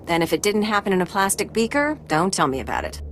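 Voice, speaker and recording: A woman acting a brisk, dry-witted medical researcher in a video game, wry and matter-of-fact. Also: mid-range voice, big pitch swings, slight background noise.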